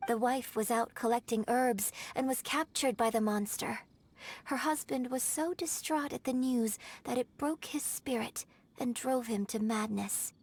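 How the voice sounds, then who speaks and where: A woman playing a poised, eloquent young opera performer in a video game, telling things in a measured, theatrical way. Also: fairly high pitch, darkish tone. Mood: dramatic storytelling. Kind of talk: video game character acting. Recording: background music.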